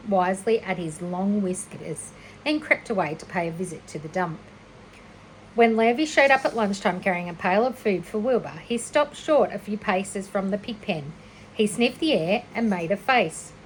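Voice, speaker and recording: A woman reading a children's story aloud like a teacher, expressive and a little unpolished, with small stumbles and self-corrections. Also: mid-range voice, normal speed, slight background noise, low-quality recording. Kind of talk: children's storybook read-aloud, narration with character voices.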